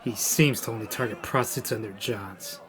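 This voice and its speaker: An actor playing a gruff, irate police inspector in a radio drama, speaking with vehemence. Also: male voice, on the low side, fairly quick, a crowd in the background, close to an everyday voice. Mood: grimly determined. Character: gruff, furious police inspector hunting a killer.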